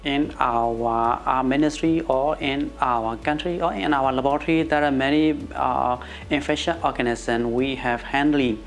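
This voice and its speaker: Halting middle-aged man with Burmese accent. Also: mid-range voice, steady tone, faint music behind. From Myanmar. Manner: halting, searching for words. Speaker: interviewee, laboratory professional. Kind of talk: interview answers. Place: indoor office room. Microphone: lapel.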